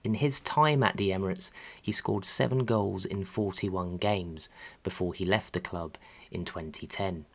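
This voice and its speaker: Male narrator, fairly low pitch, scripted sports-history read, measured and factual. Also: vocal fry, a bit bright. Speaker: narrator of a football history channel.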